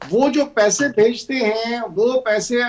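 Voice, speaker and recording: A man with a Pakistani accent, a politician guest explaining his argument on a panel, often pausing to find words. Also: extremely high pitch, dull audio.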